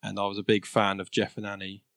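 A man's voice: fairly low pitch, completely flat delivery, fast, gravelly, fairly bright tone, no room sound. A man co-hosting a podcast in easygoing casual chat, often laughing between remarks. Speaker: podcast co-host.

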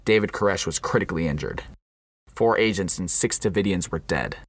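Man playing low-voiced, haunted siege survivor. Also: animated, brisk, slightly rough, dry recording, exaggerated voice. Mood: somber. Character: somber, haunted male survivor recounting the siege. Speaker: narrator. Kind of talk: scripted radio play narration.

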